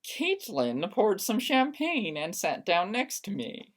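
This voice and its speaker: A woman narrates amateur fiction as a dramatic reading. Her delivery is slow and theatrical, with exaggerated emphasis and pitch that moves up and down a great deal. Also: wildly swooping pitch. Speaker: story reader.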